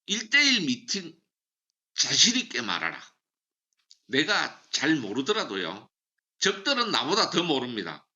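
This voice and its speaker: A man's voice: a little high, wildly swooping pitch, a little slow, long pauses, sharp tone, muffled recording. A man giving a motivational sales training lecture, emphatic and repeating key slogans. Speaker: seminar speaker for a sales business.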